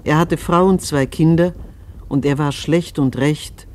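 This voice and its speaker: A man playing a solemn chronicler, reciting a family history in measured scriptural style for a radio play. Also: a little high, fairly even intonation, a little mellow, slight background noise, lightly acted.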